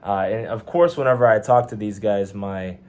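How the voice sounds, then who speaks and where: A man's voice: on the low side, normal speed, a little mellow. A young man with a Texan accent, chatting casually to his camera from a hotel room, laid-back and rambling with slang and fillers. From Texas, USA. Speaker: vlogger, Navy sailor. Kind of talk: casual vlog monologue.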